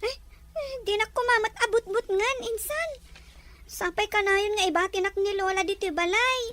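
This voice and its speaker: A woman's voice: very high voice, normal speed, bright, forceful tone. A woman playing a timid, plaintive schoolgirl granddaughter in an Ilocano drama, childlike and pleading. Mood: timid, tearful. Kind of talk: Ilocano radio drama acting.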